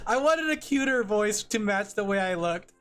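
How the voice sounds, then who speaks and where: A man's voice: high-pitched, expressive, moderate pace, fairly bright tone, smooth, soft background music. A man streaming as a vtuber, chatting casually with his viewers while drawing, rambling, laughing often and putting himself down.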